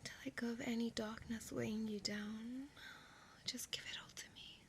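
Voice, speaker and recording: A woman's voice: on the high side, steady tone, a little hiss or hum, lightly acted. Woman playing a whispering, possessive female vampire. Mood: tender, coaxing. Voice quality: breathy whisper. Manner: slow, intimate, coaxing, formal old-fashioned phrasing. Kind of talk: ASMR audio roleplay.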